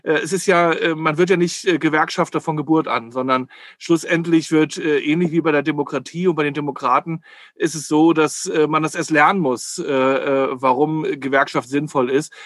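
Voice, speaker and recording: A man from Hamburg, a podcast guest who talks hesitantly and fills his pauses with many "äh" sounds. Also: on the high side, steady tone, fairly bright tone, no echo, studio quality. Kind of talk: casual chat.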